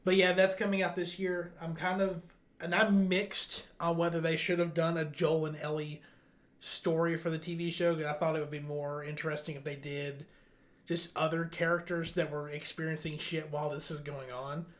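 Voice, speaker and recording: A man with a somewhat high-pitched voice hosts a podcast. He presents entertainment news in a loose, casual, conversational style. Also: little pitch movement, normal speed. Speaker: podcast host.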